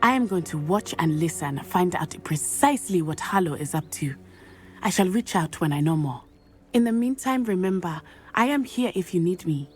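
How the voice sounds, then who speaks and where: A woman playing an earnest, resolute teenage schoolgirl witch in a video game, speaking in measured, formal phrases. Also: lowish voice, expressive, soft background music, close to an everyday voice.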